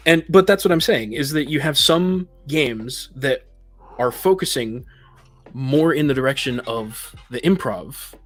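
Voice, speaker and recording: A male podcast panelist with an average-pitched voice argues his points in an informal, conversational way. A little music sits faintly behind him. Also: expressive, measured pace. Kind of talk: casual debate about tabletop games.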